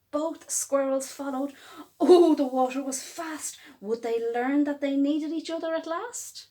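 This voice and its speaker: A woman reading a rhyming picture book aloud to children, expressive and dramatic, with emphatic pauses and drawn-out words. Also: high voice. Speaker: children's storytime reader.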